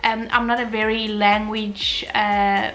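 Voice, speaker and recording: A woman's voice: medium pitch, flat delivery, slow, sharp tone, soft background music, low-quality recording. A young woman from South Africa, an Afrikaans speaker with a South African accent, chatting casually in a Q&A vlog with many fillers and restarts. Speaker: vlogger. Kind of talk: casual Q&A chat.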